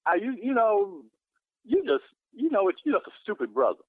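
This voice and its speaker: A man's voice: high voice, huge pitch swings, moderate pace, no room sound. A man arguing heatedly on the phone, indignant and emphatic, repeating his phrases. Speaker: church member receiving a prank call. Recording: tinny telephone line.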